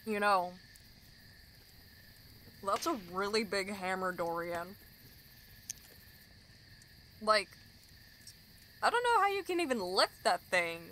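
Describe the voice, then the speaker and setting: A woman's voice: mid-range voice, animated, takes it slowly, pauses often, sharp tone, slight background noise, poor audio. A woman playing a friendly, curious young adventuring companion in an audio drama.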